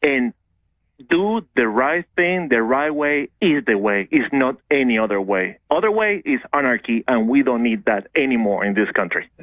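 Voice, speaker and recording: A man's voice: medium pitch, expressive, moderate pace, no echo. A man from Venezuela with a Venezuelan Spanish accent, calling in to a radio show and talking in fervent, emphatic, run-on phrases over the telephone. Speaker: caller. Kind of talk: call-in radio, passionate political rant. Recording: tinny phone line, dry.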